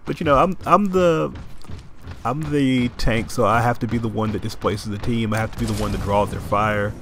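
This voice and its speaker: A man's voice: mid-range voice, slight background noise. Man coaching through gameplay, a bit stuffy. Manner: explanatory, coaching tone with quick excited asides. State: slightly stuffy nose. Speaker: gameplay commentator. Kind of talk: casual gameplay commentary.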